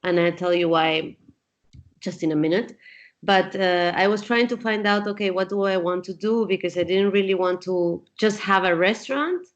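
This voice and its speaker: A woman's voice: lowish voice, normal speed. A woman from Peru in her forties, answering interview questions in a thoughtful way, with frequent fillers and restarts. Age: 40s. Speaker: guest. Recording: dull lo-fi sound.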